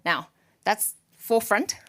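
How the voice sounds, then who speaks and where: A woman chief executive speaks from a conference stage, pitching her company to the investors in the room. Her delivery is energetic and salesy, with a joking edge. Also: slow pace. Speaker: startup CEO pitching to investors.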